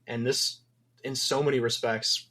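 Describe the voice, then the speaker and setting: Man from wisconsin, podcast guest, thoughtful, rambling, lots of ums, mid-pitched voice. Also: level tone, unhurried, pushed and bright. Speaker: game developer guest. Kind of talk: casual podcast interview.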